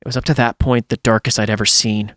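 A man's voice: on the low side, flat delivery, fast talker, fairly bright tone, no echo, clean studio sound. A man reading a first-person horror story aloud in a dramatic storytelling style, as a podcast host would.

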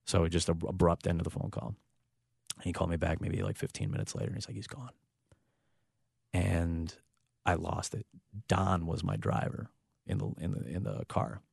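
Deep gravelly man telling a heartfelt story. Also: sing-song, normal speed, long pauses, a little mellow, dry recording, studio quality. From United States. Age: 30s. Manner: reflective and heartfelt, with frequent restarts. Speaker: sports broadcaster. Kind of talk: personal storytelling in a conversation.

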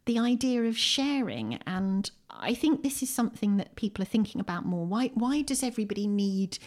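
A woman's voice: mid-range voice, sing-song, moderate pace, a little mellow. A woman with an English accent talking thoughtfully and articulately as an interview guest, making her points with emphasis. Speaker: podcast guest, poet and writer. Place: at home, indoors.

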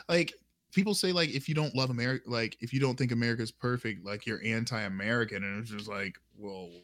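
A man in a loose podcast conversation who cracks sarcastic jokes and laughs at his own lines. His voice sits at an ordinary middle pitch. The sound is dry and close, with no room echo. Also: normal speed, fairly bright tone. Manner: laughs often, sarcastic, joking. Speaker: podcast co-host. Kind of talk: casual chat.

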